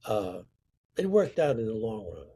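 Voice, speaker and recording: An older man from Sicily, telling stories from his life slowly and with emphasis. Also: on the low side, sing-song, mellow, a little hiss or hum. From Sicily, Italy. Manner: slow, deliberate, emphatic storytelling. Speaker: interview guest, artist. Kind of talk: reflective storytelling.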